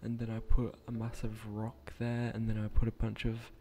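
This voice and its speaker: A young man with a croaky voice, speaking quietly at night in a hesitant, rambling way with frequent restarts as he narrates a game build. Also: fairly low pitch, completely flat delivery, moderate pace. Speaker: gaming channel creator.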